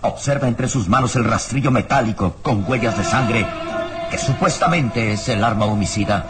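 A man narrating a radio serial from a script in a dramatic, solemn, deliberate way. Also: fairly low pitch, loud music behind the voice.

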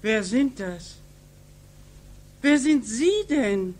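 A woman's voice: fairly high pitch, lively intonation, very slow, darkish tone, full character voice. An actress playing a curt, unsympathetic woman householder, brusque and dismissive, in a 1940s radio drama. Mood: brusque, suspicious. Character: curt, hostile, unsympathetic woman householder guarding her flat.

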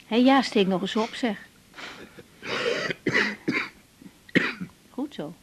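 Husky actress as a tender, guarded young woman. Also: mid-range voice, close to an everyday voice. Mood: wry, teasing. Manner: hesitant, soft, halting. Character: young female doctor, tender, guarded, hesitant lover. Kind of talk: naturalistic 1980s radio drama acting. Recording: slightly dull old radio recording.

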